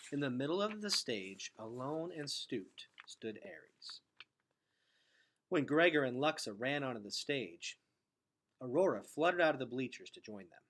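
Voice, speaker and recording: A man reading a story aloud in a dramatic, emphatic and expressive narrating style. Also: medium pitch, measured pace. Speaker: story reader.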